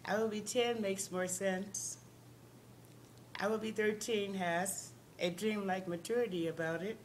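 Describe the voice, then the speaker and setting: A woman calmly reading a reflective first-person memoir passage aloud. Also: mid-range voice, a little slow, a little hiss or hum.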